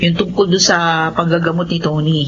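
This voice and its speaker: A woman's voice: lowish voice, steady tone, a little fast, natural voice. Actress as an earnest wife and mother, muffled audio. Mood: earnest, persuading. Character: earnest believing wife and doting, anxious mother. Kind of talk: Philippine radio horror drama acting. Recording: dull lo-fi audio, faint noise.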